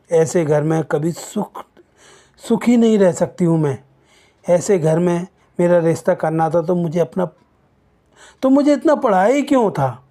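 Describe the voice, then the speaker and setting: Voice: mid-range voice, warm tone, close to an everyday voice. A narrator voicing an educated young daughter in a storybook tale, outspoken, emphatic and defiant.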